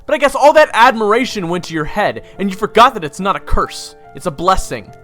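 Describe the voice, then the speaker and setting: A man's voice: high-pitched, animated, a little fast, pushed and bright, faint music behind. A man acting as a bitter, defiant young adventurer in an audio roleplay, with a biting, sarcastic manner.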